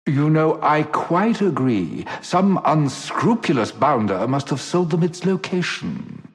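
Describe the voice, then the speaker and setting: Swooping, suave cowardly rogue. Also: male voice, fairly high pitch, sing-song, slow, exaggerated voice. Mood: coy, glib. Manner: florid, coy, theatrically polite. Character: suave, cowardly gentleman rogue, glib and mock-gallant. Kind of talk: video game character acting. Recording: long hall reverb.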